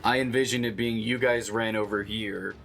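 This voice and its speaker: A man's voice: fairly low pitch, steady tone, moderate pace, vocal fry, fairly bright tone. A man playing a tabletop roleplaying game, talking casually and hesitantly as he thinks aloud. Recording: faint music behind the voice. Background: faint music.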